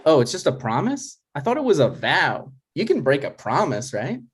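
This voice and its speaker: A man's voice: on the high side, huge pitch swings, normal speed, husky, full character voice. A man reads the part of a grown son in a comedy script. His intonation moves around a lot. He sounds dry, hesitant and put-upon, in a natural conversational way. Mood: dry, exasperated. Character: exasperated, dry, reluctant adult son, struggling writer.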